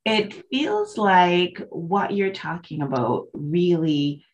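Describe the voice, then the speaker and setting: Woman host for an interview show, inquisitive and chatty, animated intonation on a lowish voice. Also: slow, muffled recording. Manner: inquisitive, expressive. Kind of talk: conversational interview.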